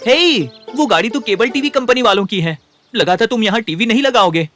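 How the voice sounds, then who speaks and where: A cheeky cartoon sidekick with a high, bright voice. He needles slyly and teases, with lively intonation. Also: normal speed, faint music behind. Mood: teasing. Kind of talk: Hindi cartoon dub.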